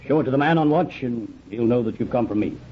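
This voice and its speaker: A confident, roguish buccaneer captain acted by a man from a vintage radio play. He speaks in hearty, archaic seafaring phrases at a medium pitch. The sound is dull and lo-fi. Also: expressive, fast talker, lightly acted. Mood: brisk, trusting. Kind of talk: old time radio drama acting. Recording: dull, noisy old radio recording.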